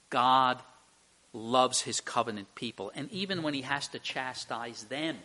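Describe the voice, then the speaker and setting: A man's voice: fairly high pitch, bright, forceful tone. A man preaching an expository sermon as a pastor, speaking earnestly and emphatically, with repeated phrases and rhetorical questions.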